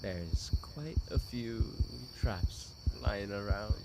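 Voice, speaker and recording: A man playing an obsessive stalker boyfriend in a dramatic roleplay audio, halting and pleading, with murmured endearments and nervous chuckles. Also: on the low side, heavy background noise. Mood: quiet threat. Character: obsessive secret yandere stalker boyfriend, tender turning desperate.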